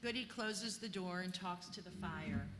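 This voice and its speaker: A woman's voice: lowish voice, normal speed, fairly bright tone. A woman reading stage directions as scripted narration for a play reading. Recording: echoey hall, distant, noisy room tone.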